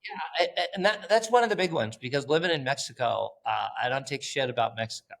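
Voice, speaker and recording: A male company founder speaks as a podcast guest. He is blunt and animated and swears casually as he talks. He acts out bits of dialogue with other people as he tells his stories. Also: medium pitch, quick pace, gravelly. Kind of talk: casual interview.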